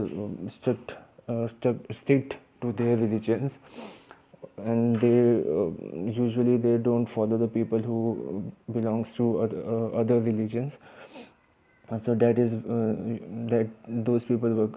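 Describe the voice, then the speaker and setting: A man's voice: medium pitch, flat delivery, measured pace, dull audio. A man with an Indian accent teaching English vocabulary in a casual, hesitant way, with many fillers and restarts. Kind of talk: informal vocabulary lesson.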